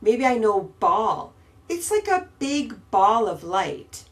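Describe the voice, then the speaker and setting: Casting: woman podcast host, unhurried, patient teacher. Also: a little high, big pitch swings. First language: English. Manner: slow, patient, emphatic explaining. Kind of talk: podcast grammar lesson. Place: Istanbul.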